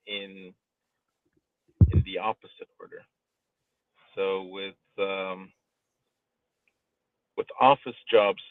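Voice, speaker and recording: Phone-like narrow sound, low-voiced man, dry, explanatory casual talk, measured deliberate phrasing. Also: no echo. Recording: thin phone-like band-limited sound.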